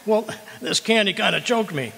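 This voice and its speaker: Man acting a flustered, high-pitched radio comedy husband. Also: expressive, moderate pace, creaky, pushed and bright, full character voice. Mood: flustered, stammering. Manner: stammering, blustery. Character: flustered, jealous, bluffing husband in old-time radio comedy. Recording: hall reverb on a live stage.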